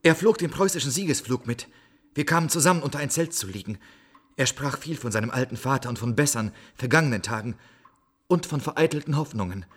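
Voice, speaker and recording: A man playing a poor soldier bearing grim news in a radio drama, husky, grave and declamatory. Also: medium pitch, animated, brisk, a bit bright. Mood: solemn. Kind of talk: theatrical radio drama storytelling.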